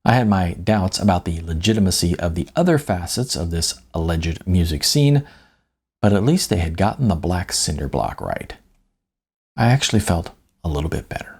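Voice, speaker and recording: A husky male voice with plenty of gravel reads an audiobook as its author. His intonation moves around a lot, rising and dropping for emphasis. The phrasing is deliberate and storylike. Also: deep voice, animated, darkish tone. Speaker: author reading own novella. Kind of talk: audiobook narration, first-person storytelling.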